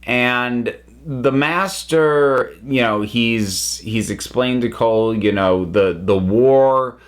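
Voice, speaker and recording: A man's voice: medium pitch, takes it slowly. A man giving a casual spoken review to viewers, thoughtful and emphatic, often breaking off to rephrase.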